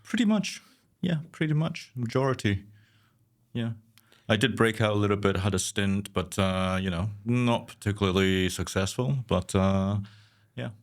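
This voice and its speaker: A man from Scotland with a very low, deep voice, speaking as an interview guest. He talks hesitantly, repeating words and trailing off to a near murmur at the ends of his thoughts. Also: moderate pace. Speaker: guest architect. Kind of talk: conversational interview.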